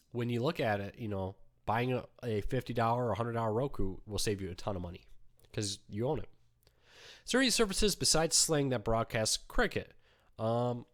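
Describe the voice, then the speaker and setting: A man hosts a laid-back live Q&A, answering viewer questions in an easygoing, rambling way full of ums. His voice is weakened by a cold he is still fighting. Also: medium pitch. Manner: relaxed, chatty, many ums. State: weak voice from a cold. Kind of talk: casual live Q&A.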